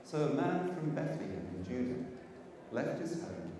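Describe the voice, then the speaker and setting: A man reading a Bible story as a dramatised telling, with deliberate, theatrical phrasing and dramatic pauses. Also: fairly high pitch, big pitch swings, crowd noise. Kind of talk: dramatised scripture storytelling to a congregation. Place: large church auditorium. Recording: echoey church hall, long reverb.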